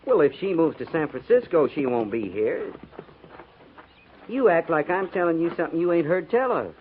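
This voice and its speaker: Folksy old-West sergeant, on tinny radio. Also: male voice, a little high, big pitch swings, normal speed. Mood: gossipy, puzzled. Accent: American, rustic Western. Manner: folksy, rustic, deferential. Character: folksy, loyal, plain-spoken army sergeant of the Old West. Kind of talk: 1950s radio drama acting. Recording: tinny, hissy 1950s radio recording.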